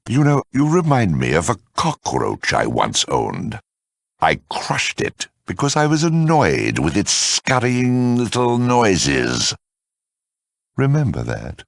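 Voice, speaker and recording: An actor voicing a cruel, urbane super mutant lieutenant in a video game, mock-courteous, sardonic and theatrical, with a gravelly, raspy voice. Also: male voice, deep voice, no room sound, clean studio sound, full character voice. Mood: condescending. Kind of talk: video game villain dialogue.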